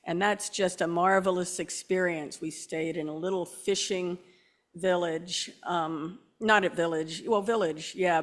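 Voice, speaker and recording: A woman in the congregation casually sharing travel memories in a church, loose and chatty. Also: on the low side. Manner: chatty, loose storytelling with fillers. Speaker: congregation member. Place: church sanctuary.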